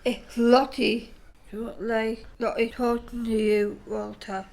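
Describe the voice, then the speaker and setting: Woman as slow-spoken smitten young woman. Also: a little high, flat delivery, creaky, darkish tone, rough recording. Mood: jealous. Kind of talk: audio play acting.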